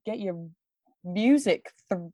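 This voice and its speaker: A woman's voice: mid-range voice, lively intonation, slow pace, warm tone, dry recording, studio quality. A young woman chatting casually on a podcast, bubbly and self-mocking, often laughing at herself.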